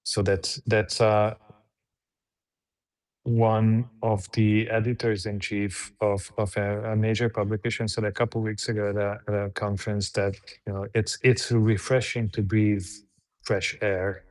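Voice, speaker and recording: Interview guest: man, measured and halting, slow and flat. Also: lowish voice. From Hungary. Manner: measured, halting, frequent restarts. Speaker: guest, PR agency head. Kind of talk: conversational interview answers.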